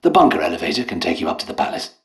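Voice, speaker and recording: An eccentric British royal doctor from a video game. His voice is fairly low and swings widely in pitch, with refined diction. He is chatty and courteous, given to apology and polite understatement. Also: very animated, rapid-fire. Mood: musing, professorial. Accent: British English. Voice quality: refined, well-spoken. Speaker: video game character voice actor.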